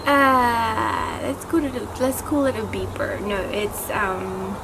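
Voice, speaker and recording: A young woman with a soft voice answers viewer questions in a casual vlog, chatty and self-interrupting, often losing her words and laughing at herself. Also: fairly high pitch, slow. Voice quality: soft, not loud. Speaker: vlogger. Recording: audible background noise.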